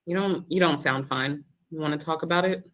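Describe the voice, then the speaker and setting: A woman's voice: sits low, brisk, fairly bright tone, muffled recording. A woman playing a caring, reassuring nurse on evening rounds in a dramatic table read. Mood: concerned.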